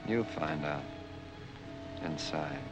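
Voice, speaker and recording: A man's voice: very deep voice, takes it slowly, over music. An actor playing a cold, commanding American man bent on revenge in a 1940s film noir. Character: vengeful, menacing man hunting his father's betrayer. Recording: noisy 1940s film soundtrack.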